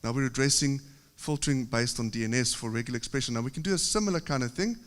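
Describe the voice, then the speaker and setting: A man from South Africa with a South African accent, giving a technical conference presentation at a podium with steady explanations and occasional filler pauses. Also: mid-range voice. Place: large conference hall. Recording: reverberant conference hall.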